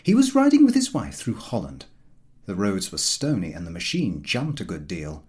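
Man narrating with highly animated pitch. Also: normal speed. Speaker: audiobook narrator. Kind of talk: expressive narration of a comic novel.

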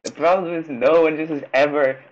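A man's voice: fairly high pitch, steady tone, brisk, highs cut off. A man with a Trinidadian accent who talks insistently and repeats himself in a casual podcast chat. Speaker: podcast co-host.

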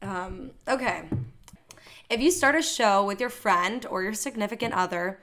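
A slightly hoarse woman in her twenties from Los Angeles, chatting as a podcast guest in an upbeat way, full of "like" and "you know". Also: mid-range voice, moderate pace, fairly bright tone. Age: 20s. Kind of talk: casual chat.

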